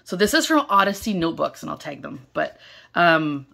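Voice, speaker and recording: Young woman, hoarse and low, gravelly edge; chatty vlogger, lots of fillers, excited. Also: moderate pace. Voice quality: gravelly, strained. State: hoarse, losing her voice. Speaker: stationery vlogger. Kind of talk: casual unboxing vlog. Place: indoors at a table, filming overhead. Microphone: camera held close over the table.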